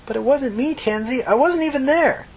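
A woman's voice: sits high, normal speed, husky, voice pushed up. A woman voicing a desperate, stammering teenage runaway girl in an emotional audiobook story. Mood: desperate pleading. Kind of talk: audiobook character dialogue.

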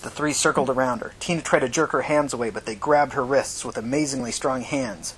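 A man's voice: fairly quick, fairly bright tone, slightly rough. A man narrating dramatic fiction as an audiobook reader. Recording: noisy recording with low rumble.

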